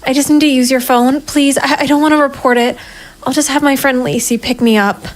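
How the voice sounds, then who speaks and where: A woman's voice: high voice, fairly even intonation, brisk, lightly acted. A woman playing a hardboiled, sardonic private investigator in a noir audio drama, with a wry, self-mocking manner. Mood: flustered, pleading. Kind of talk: first-person noir audio drama narration and dialogue.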